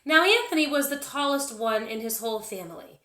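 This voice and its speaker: A woman teacher reading a children's story aloud, expressive and deliberate in her telling. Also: a little high. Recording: roomy, echoing sound.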